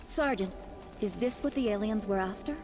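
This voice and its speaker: An actress playing a brisk, headstrong scientist in a video game, speaking in an assured, dry and insistent way. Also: female voice, mid-range voice, over music, natural voice. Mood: curious. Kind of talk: video game cutscene acting.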